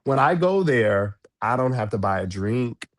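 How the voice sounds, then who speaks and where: Forties male podcast guest, chatty storyteller, restarts often, mid-pitched. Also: big pitch swings, unhurried. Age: 40s. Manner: storytelling, frequent restarts and repeated words. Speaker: guest, drag performer. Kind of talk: casual interview talk. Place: podcast studio.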